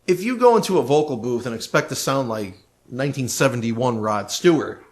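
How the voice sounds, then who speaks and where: A man's voice: mid-range voice, animated, fairly quick. A man co-hosting a podcast in casual conversation, giving emphatic, opinionated advice. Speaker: podcast co-host, recording engineer.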